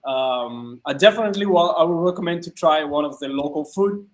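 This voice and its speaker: A man from France, a music producer answering interview questions in halting English full of fillers. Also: a little high. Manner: halting, many "uh" fillers and restarts, simple non-native phrasing.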